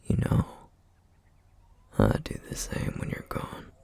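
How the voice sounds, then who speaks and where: A man playing a tender, teasing single-dad boyfriend in an intimate ASMR roleplay, with a husky, half-whispered, affectionate murmur. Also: slow pace, no echo, natural voice. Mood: fond, teasing. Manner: soft, hesitant, affectionate murmuring with soft chuckles. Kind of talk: ASMR roleplay, intimate one-sided dialogue to listener.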